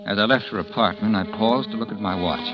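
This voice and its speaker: An actor playing a conceited, cold writer who also narrates, in a 1940s radio drama. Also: male voice, on the low side, fast, loud music behind the voice, close to an everyday voice, low-quality recording. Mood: narrating. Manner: smug, dismissive, curt. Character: cold, conceited man-about-town writer. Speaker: narrator and lead character. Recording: old radio broadcast.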